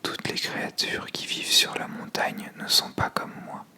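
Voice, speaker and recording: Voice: normal speed, sharp tone. Whispering, grumpy lonely dragon god voiced by a storyteller. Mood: stern warning. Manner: whispered, stern, commanding.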